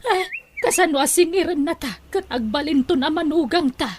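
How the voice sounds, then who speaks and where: A woman's voice: high-pitched, normal speed, a little hiss or hum, natural voice. A woman with an Ilocano accent playing a chatty, scheming middle-aged mother in an audio drama.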